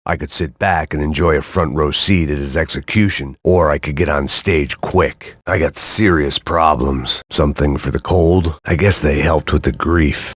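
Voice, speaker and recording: Super low raspy burnt-out action game hero, middle-aged, dry sarcastic noir monologue, really close dry sound. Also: male voice, dry recording. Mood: regretful, bitter. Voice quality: gravelly, husky. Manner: weary, sardonic, deadpan. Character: jaded, self-loathing middle-aged male hard-boiled antihero. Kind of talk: noir video game inner-monologue narration.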